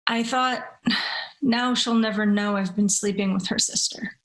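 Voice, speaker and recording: A tortured, remorseful man from a literary tale, read by a woman. He sounds weary and halting, a broken soul, in a medium-pitched voice. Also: steady tone, fairly bright tone, natural voice, rough recording. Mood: painful confession. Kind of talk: literary story reading.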